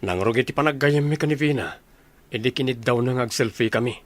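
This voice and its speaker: An easygoing young man in love acted by a man in a radio comedy. He sounds casual and eager, with a voice of average pitch. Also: a little fast, natural voice. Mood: excited, surprised. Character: upbeat, lovestruck young village man, easygoing buddy and son. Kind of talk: Ilocano comedy radio drama acting.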